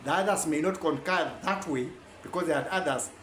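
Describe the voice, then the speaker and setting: Guy rambling thru a talk, high lively voice, faint hiss in the room. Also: brisk, reverberant. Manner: rambling, animated, self-correcting. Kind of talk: informal lecture.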